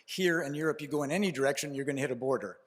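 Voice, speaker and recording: A man's voice: on the high side, very fast. A man with a Canadian accent giving a conference speech to an audience, telling a story informally with frequent fillers and restarts. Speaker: keynote speaker at a conference.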